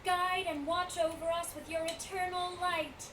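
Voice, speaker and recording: A woman voicing a gentle, devout young princess in a video game cutscene, softly and solemnly. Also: very high-pitched, steady tone, normal speed, slight background noise. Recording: distant game clip under loud music.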